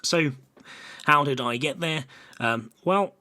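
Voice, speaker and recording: A young man from Brighton and Hove, an engineer giving a casual, chatty technical presentation with frequent filler words. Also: a little high, takes it slowly. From Brighton and Hove, England. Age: young adult.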